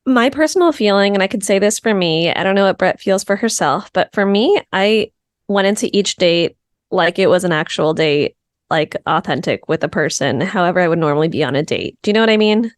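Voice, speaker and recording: A woman in her thirties, a comedian guest, chatting casually and upbeat in quick bursts. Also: mid-range voice, expressive, no echo, clean studio sound. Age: 30s.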